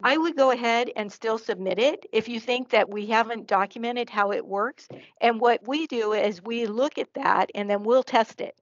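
A woman's voice: fairly high pitch, normal speed, dry recording. A woman presenter giving a slide presentation and answering questions over a video call. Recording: slightly dull online meeting audio.

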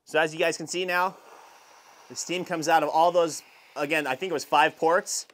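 A man's voice: on the high side, fairly even intonation, moderate pace, fairly bright tone. A man in his thirties casually talking viewers through a product test, chatty, with frequent fillers, on a lapel mic. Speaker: car detailing channel host. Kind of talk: casual product review vlog. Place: garage workshop, outdoors by a van, and a home hallway.

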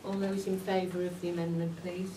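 A woman chairing a formal council meeting, moving briskly through votes and agenda items. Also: on the low side, little pitch movement. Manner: brisk, procedural. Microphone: far room mic. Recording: echoey hall, far mic, clear hiss.

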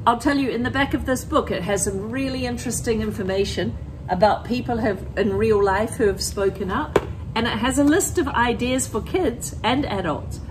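A middle-aged woman teacher reading a picture book aloud to children, with deliberate, expressive phrasing, talking directly to her young listeners. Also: on the high side, noisy background. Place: empty school classroom, indoors.

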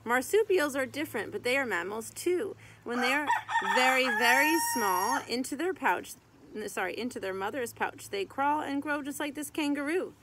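A woman's voice: high voice, lively intonation, normal speed. A woman in her thirties reads a children's picture book aloud like a teacher, phrase by phrase with short asides. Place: outdoors in a garden beside a tree. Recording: outdoor background noise with birds and rooster.

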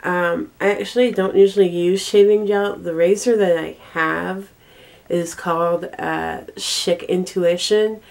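A young woman talks casually through a cold, as if sharing favorites in a vlog. Her voice sits slightly low for a woman. She goes slowly and haltingly, stopping and starting as she speaks. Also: fairly even intonation, creaky, a little mellow. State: congested with a cold. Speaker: vlogger. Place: small bedroom, indoors.

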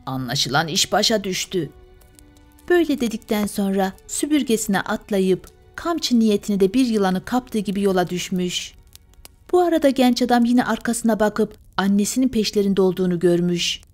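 A woman's voice: medium pitch, lively intonation, normal speed, darkish tone. Woman narrating a bedtime fairy tale over music. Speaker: storyteller. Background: music and fire sounds.

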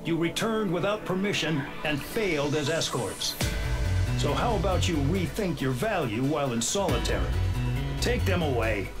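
Man acting a pompous, higher-voiced commander over loud music. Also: lively intonation, moderate pace, natural voice. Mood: scornful. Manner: pompous, sneering. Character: pompous, contemptuous prison-unit military commander. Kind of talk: video game cutscene acting.

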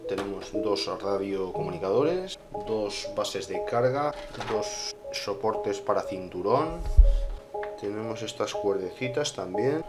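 A man with an accent from Spain narrating a casual unboxing review in an informal way, pausing and repeating himself. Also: fairly low pitch, expressive. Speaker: video reviewer. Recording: music behind the voice. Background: background music.

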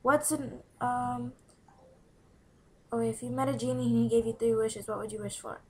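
A woman's voice: fairly high pitch, steady tone, normal speed, mellow. A teenage girl chatting casually into a webcam in a small room, answering follower questions in a bubbly, giggly way and often dropping to a whisper. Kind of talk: casual Q&A vlog. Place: small room indoors by a window. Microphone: webcam from below at close range.

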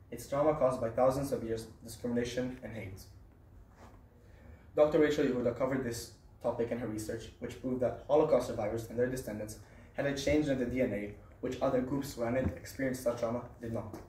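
A man's voice: mid-range voice, mellow. Young guy from israel doing a prepared student speech in a classroom, echoey, mic kinda far away. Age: teenager to young adult. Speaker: student speaker. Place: small classroom, indoors. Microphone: camera on a desk, several metres off. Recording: roomy, distant mic, faint noise.

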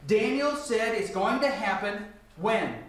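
Echoey high-pitched man lecturing on scripture. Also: lively intonation, slow, fairly bright tone. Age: middle-aged. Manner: rhetorical questions answered by himself. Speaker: church lecturer. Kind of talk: Bible lecture to a congregation. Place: large church meeting room, indoors. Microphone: picked up from a distance. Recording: echoey hall, distant microphone.

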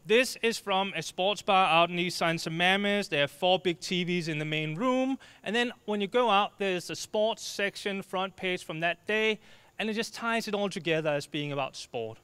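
Danish guy joking around on stage with a handheld mic, bright voice, dry close sound. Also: high-pitched, normal speed, smooth, dry recording. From Denmark. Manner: lively, joking, waves his arms. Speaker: speaker. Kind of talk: short comic talk on stage. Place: dark stage before an audience.

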